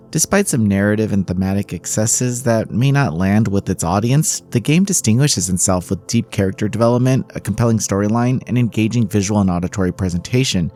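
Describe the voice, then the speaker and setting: Male game reviewer, low and mellow, measured scripted narration over music.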